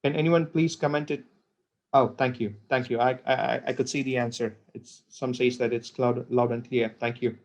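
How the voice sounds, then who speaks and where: A man presenting a software webinar on a computer call, talking with many fillers and repeated words. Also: medium pitch, steady tone, moderate pace. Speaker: product presenter.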